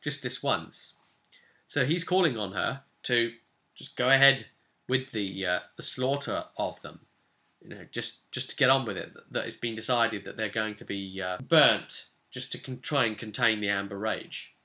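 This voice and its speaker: Male game master, bright forward voice, chatty storytelling recap of a fantasy campaign. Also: lowish voice, moderate pace. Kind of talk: informal storytelling recap to camera.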